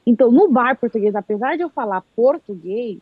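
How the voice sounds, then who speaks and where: Brazilian woman, casual live chat guest, husky, high-ish, animated storyteller; dry, dull lo-fi audio. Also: fairly quick, no room sound. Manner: animated storyteller, acts out quoted speech.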